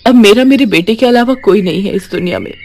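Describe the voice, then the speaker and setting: A woman's voice: mid-range voice, animated, fast talker, gravelly, darkish tone, pitched above the performer's own voice. Woman playing a humble, pleading young widow. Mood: sad, pleading. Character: humble, anxious young widowed mother seeking work.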